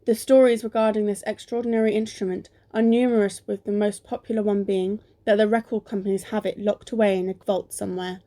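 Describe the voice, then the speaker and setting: A woman playing a clever, level-headed amateur sleuth in an amateur radio play, with a measured delivery. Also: mid-range voice, level tone, mellow, close to an everyday voice. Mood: measured, reading aloud. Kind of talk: amateur radio play acting, often reading articles aloud. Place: recorded alone at home.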